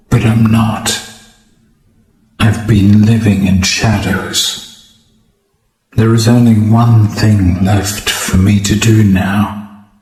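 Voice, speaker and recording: Game role: male actor as a somber undead son, lowish voice, extremely slow and solemn delivery, echoing room. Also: darkish tone. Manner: solemn, deliberate, with long pauses. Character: somber undead son addressing his father. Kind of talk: slow dramatic game monologue. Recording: roomy, echoing voice recording.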